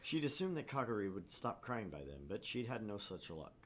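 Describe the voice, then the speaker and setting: Low-pitched man narrating a visual novel. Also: very animated, fairly quick, a little hiss or hum. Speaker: co-host and narrator of a visual novel let's play. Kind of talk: casual chat and story narration.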